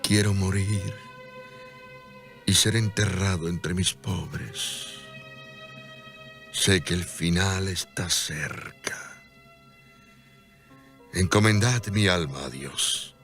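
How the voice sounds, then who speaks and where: An ailing, self-sacrificing friar in a religious radio drama. His voice is very low and solemn, earnest and humble in every phrase, with faint music underneath. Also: very slow, creaky, long pauses, a bit bright, full character voice. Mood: grave, resigned.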